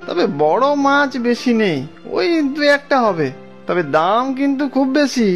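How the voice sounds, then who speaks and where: A woman's voice: high-pitched, sing-song, measured pace, gravelly, soft background music, exaggerated voice. A woman voicing a helpful male fish vendor in a children's cartoon, explaining things plainly. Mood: helpful, regretful. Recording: dull, muffled sound.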